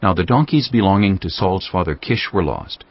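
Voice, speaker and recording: A man narrating a dramatized Bible story in a measured, scripted storytelling style. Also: deep voice, lively intonation, breathy edge. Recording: slightly dull sound.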